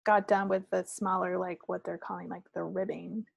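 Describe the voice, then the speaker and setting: A woman's voice: lowish voice, flat delivery, moderate pace, gravelly, breathy edge, dry recording, lo-fi sound. A woman chatting casually as co-host of a hobby podcast. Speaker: co-host of a knitting video podcast. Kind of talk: casual chat.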